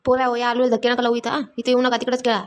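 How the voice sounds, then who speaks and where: Woman as cartoon village mom, high, extremely quick, nagging. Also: monotone, close to an everyday voice. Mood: bossy, busy. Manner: nagging, rapid repeated orders. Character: scolding, busy village mother. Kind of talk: Marathi comedy cartoon dialogue.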